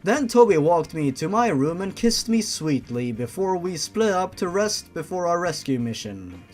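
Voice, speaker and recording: A man's voice: high voice, sing-song, moderate pace, a little mellow, soft background music. A man reading a story aloud as host, theatrical and sarcastic, with sweary mocking asides.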